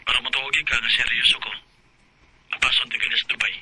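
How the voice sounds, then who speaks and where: A man's voice: mid-range voice, flat delivery, quick pace, very noisy, close to an everyday voice. A man with a Cebuano Filipino accent acting an earnest, persistent suitor and old schoolmate in an audio drama. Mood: insistent, sincere. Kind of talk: radio drama dialogue.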